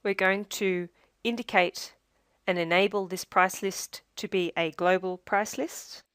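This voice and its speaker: A woman narrating a scripted software tutorial as a demonstrator, in a measured, step-by-step instructional way. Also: mid-range voice, fairly even intonation, smooth, no echo, studio quality.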